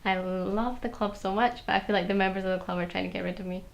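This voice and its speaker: A woman's voice: medium pitch, fairly even intonation, fast talker, creaky. A young woman student answering interview questions casually and hesitantly, with many fillers. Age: young adult. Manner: hesitant, filler-heavy speech.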